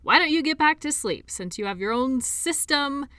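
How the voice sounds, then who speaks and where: Woman acting as defensive female sports doctor, earnest, emotional, bright crisp tone, very dry close sound, intimate audio roleplay. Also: sits high, animated, normal speed, no echo. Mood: exasperated, upset. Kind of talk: intimate audio drama acting, one side of a dialogue.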